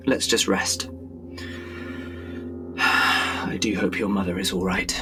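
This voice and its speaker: An actor playing a weary, impatient man with a gravelly voice in a Victorian period audio drama. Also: deep voice, steady tone, drawn-out words, over music. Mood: weary, curt.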